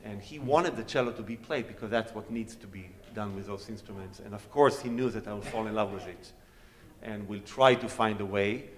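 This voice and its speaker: A man's voice: on the low side, a little fast. Man, native Hebrew speaker, chatty, joking, stage talk, reverberant concert hall. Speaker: guest soloist cellist. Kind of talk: informal talk and audience Q&A on stage.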